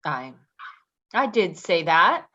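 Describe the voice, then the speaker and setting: An actress plays a tough ex-convict woman in a stage drama. She is blunt and quick to bristle, her pitch moving widely, on a slightly dull recording. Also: sing-song, pushed and bright, slight background noise, exaggerated voice. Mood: guarded. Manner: blunt, combative, defensive. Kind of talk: dramatic play reading, naturalistic dialogue.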